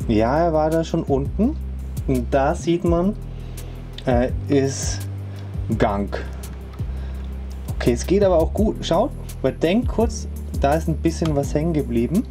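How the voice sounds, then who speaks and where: A woman's voice: sits low, expressive, unhurried, pauses often, over music. A woman hosting a tech channel, casually reviewing a product at home, rambling and chatty with lots of fillers and tag questions. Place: indoors at home, moving between rooms.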